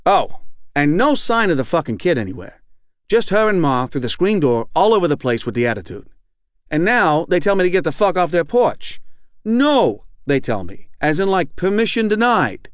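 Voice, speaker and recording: A man's voice: high-pitched, sing-song, normal speed, dry recording, clean studio sound. A man reading aloud as an angry, profane middle-aged man in recovery, rambling and repeating himself. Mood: bitter, heated. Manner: rambling, profane, keeps asking "you know what I'm saying". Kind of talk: reading aloud a fictional monologue.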